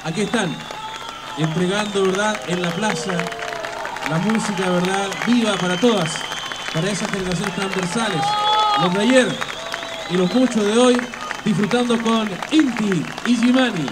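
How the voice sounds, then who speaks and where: High-voiced festival announcer. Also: male voice, very noisy. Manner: emphatic, drawn-out announcer delivery with repeated fillers. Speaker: event MC. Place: outdoor festival stage. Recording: live PA sound with loud crowd.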